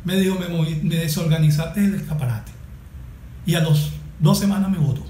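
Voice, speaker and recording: A man's voice: a little high, a little fast, mellow. A man with a Venezuelan Spanish accent giving emphatic, anecdotal opinions as an interview guest. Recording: echoey room, faint noise.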